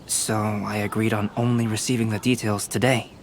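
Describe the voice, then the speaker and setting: A man voicing a calm, composed young male painter in a video game, speaking in a measured way. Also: lowish voice, slight background noise, natural voice. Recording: game audio with faint score.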